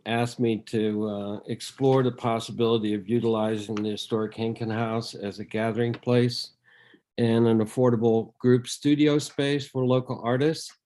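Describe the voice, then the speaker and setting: An older man from the Netherlands, reading a prepared statement at public comment over a video call, measured, with frequent ums and uhs. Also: medium pitch, mellow. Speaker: resident speaking at public comment.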